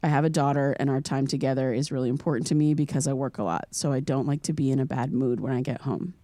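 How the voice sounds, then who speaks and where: Earnest young American podcaster, closely miked. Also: female voice, a bass voice, mellow, no echo. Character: earnest, curious young podcaster haunted by recurring nightmares. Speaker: podcast host character. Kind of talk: audio drama in the form of a confessional podcast.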